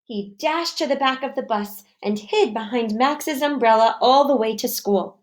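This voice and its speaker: A woman's voice: on the high side, moderate pace. A woman reading a children's storybook aloud expressively, in an instructive manner for young listeners. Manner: asks listeners simple questions, instructive.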